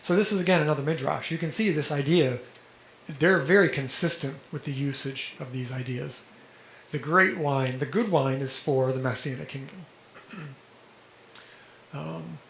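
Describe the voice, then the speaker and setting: A man teaching a study group, explaining texts and often checking in with listeners, a little hoarse and clearing his throat. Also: on the high side. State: slightly hoarse, coughing. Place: indoor room with a vent. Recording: echoey room with background noise.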